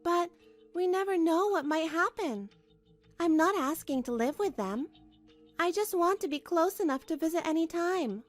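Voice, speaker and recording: A woman's voice: very high voice, lively intonation, normal speed. A woman playing an anxious, earnest young wife who narrates her own troubles in a dramatised manga story. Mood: worried. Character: put-upon young wife, anxious, earnest, long-suffering. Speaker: narrator and lead of a manga dub. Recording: music behind the voice.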